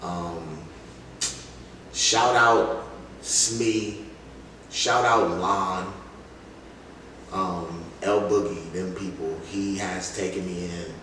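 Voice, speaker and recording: Low-voiced man chatting in an echoey room. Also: fairly even intonation, drawn-out words, pauses often, bright, forceful tone. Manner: informal, slangy. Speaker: interview guest, music artist. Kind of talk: casual chat. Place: reverberant indoor room. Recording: very echoey room, distant noisy mic.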